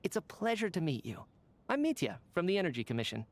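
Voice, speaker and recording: A man's voice: high-pitched, huge pitch swings, fast, full character voice. An actor voicing a chatty, easygoing and polite male official in a video game, talkative and theatrical. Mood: breezy, charming. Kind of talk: video game character dialogue.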